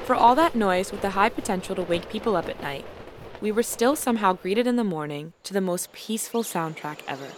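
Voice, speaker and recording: A woman narrating a student podcast episode in a measured, lightly wry scripted style. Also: medium pitch, expressive, very noisy. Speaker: podcast host and student reporter.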